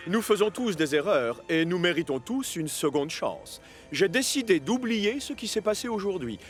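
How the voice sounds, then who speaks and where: High-voiced, pompous minister. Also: male voice. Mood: forgiving. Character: dignified, demanding male government minister.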